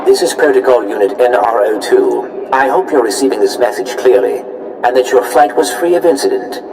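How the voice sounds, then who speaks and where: A man's voice: medium pitch, normal speed, close to an everyday voice. A man voicing a courteous protocol droid in a video game, speaking formally and precisely with deference. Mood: formal, helpful. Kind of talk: scripted video game acting. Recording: roomy echo, clear background noise.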